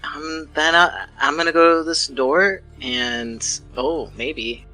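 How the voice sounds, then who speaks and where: A man's voice: fairly high pitch, slow pace, pushed and bright, rough recording. A man playing a tabletop fantasy game, thinking aloud casually as he decides what to do. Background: music.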